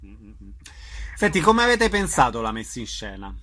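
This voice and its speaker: A man's voice: medium pitch, sing-song, normal speed, bright, forceful tone. A man, interviewing a guest as a radio host in a conversational style.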